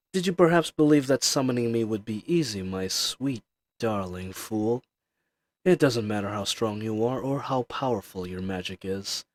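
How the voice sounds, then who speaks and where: A man's voice: lowish voice, expressive. A man voicing an ancient, powerful dragon in human form, speaking in a grandiose, condescending and seductive way in a roleplay audio drama. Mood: mocking, proud. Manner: slow, grandiose, formal, condescending, with drawn-out pauses. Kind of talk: scripted roleplay audio drama addressed to the listener. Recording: slight fuzzy microphone hiss.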